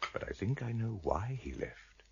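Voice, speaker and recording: An actor playing a shrewd, courtly blind trader in a radio drama, husky and gravelly, speaking in a measured, formal, wry way. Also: male voice, lowish voice, big pitch swings, muffled recording, background noise. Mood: grave, knowing.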